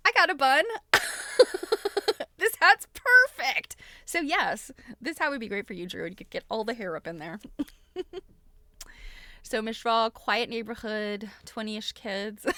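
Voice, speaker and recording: A woman's voice: on the high side, pushed and bright, no echo. A middle-aged woman hosting a casual livestream, chatty and giggly, often breaking into exclamations. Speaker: livestream host.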